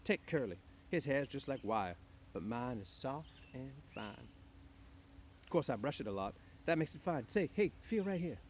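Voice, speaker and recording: A man's voice: mid-range voice, lively intonation, normal speed, a little hiss or hum, pitched above the performer's own voice, lightly acted. A man voicing a young, dreamy, discontented small-town wife with rambling, slangy speech in an audiobook. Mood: complacent, teasing. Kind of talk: audiobook character voice.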